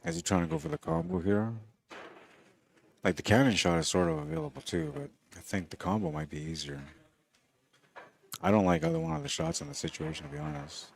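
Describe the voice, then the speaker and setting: A man giving relaxed, conversational live commentary on a pool match, talking quietly and low. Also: brisk, vocal fry, pauses often, slight background noise.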